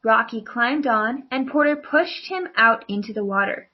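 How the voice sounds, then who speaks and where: A woman's voice: fairly high pitch, normal speed, muffled recording. A woman narrating a children's storybook as a scripted read-along.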